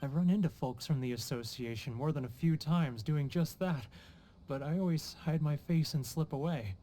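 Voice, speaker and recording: A man's voice: on the high side, moderate pace, mellow, slight background noise, natural voice. An actor playing a homesick, guilt-ridden male merchant in a video game, theatrical and sighing, self-pitying in manner. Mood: anguished. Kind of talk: video game character acting.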